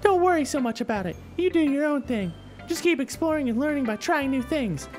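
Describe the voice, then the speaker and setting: Visual novel club leader, played by a woman: teenage girl, high-pitched, upbeat, encouraging, light music underneath. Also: animated, a little fast. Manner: cheery, chatty, gently coaching. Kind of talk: visual novel character dialogue read aloud. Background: soft background music.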